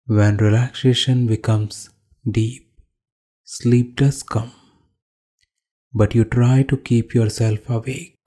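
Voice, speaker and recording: A man with an Indian accent leading a guided meditation as a yoga instructor, calmly giving short instructions with pauses between phrases. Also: medium pitch.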